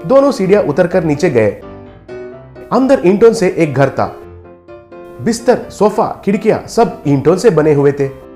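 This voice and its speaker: A man's voice: sits high, sing-song, measured pace, long pauses, dark tone, soft background music. A man reading scripted narration as the storyteller of a tale.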